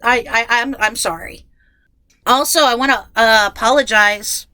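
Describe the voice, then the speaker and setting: Female podcast host, highish bright voice, nasal from a head cold, casual and rambling, self-deprecating. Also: unhurried, no echo. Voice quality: nasal, stuffed-up. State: getting over a head cold. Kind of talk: casual, chatty solo podcast. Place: inside a closet at home.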